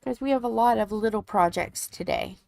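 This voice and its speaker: A woman in her forties with a young-sounding, soft voice, chatting casually while she crafts on a livestream. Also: on the high side, normal speed, gravelly, dry recording. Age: 40s. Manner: soft, sleepy-sounding delivery.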